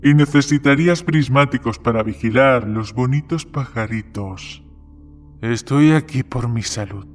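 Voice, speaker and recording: A man's voice: mid-range voice, unhurried, close to an everyday voice. A man playing guarded male characters in the dialogue of an audio horror story. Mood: sly, teasing. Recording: background music under the voice. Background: music.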